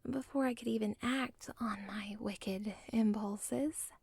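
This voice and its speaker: A lovesick villain's daughter, played by a woman whispers close to the mic, rambling through asides about her own story. Her voice is gravelly and husky, and she drawls slowly. The sound is dry, with no room around it. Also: medium pitch, steady tone, dry recording. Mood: obsessive. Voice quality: soft whisper. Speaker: audio roleplay performer. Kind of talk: ASMR audio roleplay.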